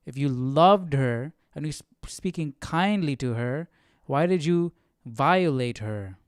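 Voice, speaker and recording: A man's voice: on the high side, expressive, slow pace, clean tone, dry recording, clean studio sound. A man hosting a live Bible study, reading aloud and musing informally in casual commentary. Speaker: host of a Bible study stream.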